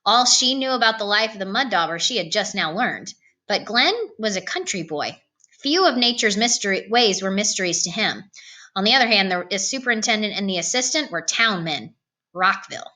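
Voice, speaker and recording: A woman reading a novel aloud in an expressive storytelling style. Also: mid-range voice, normal speed. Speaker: storybook reader.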